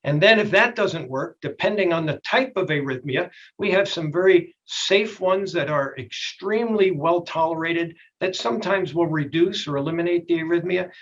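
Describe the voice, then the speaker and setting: A middle-aged or older man with a slightly husky voice, a doctor explaining things in a plainspoken, folksy way in a TV health interview. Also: on the high side, normal speed, hardly any pauses, a bit bright, lo-fi sound. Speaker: doctor, expert guest.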